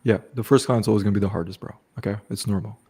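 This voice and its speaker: Give me a man with a deep, warm voice hosting a casual live Q&A. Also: fairly even intonation, very fast, slightly rough. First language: French. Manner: casual, repeats "okay" and "bro", frequent restarts. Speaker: host coaching online business. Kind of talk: live stream Q&A, casual advice.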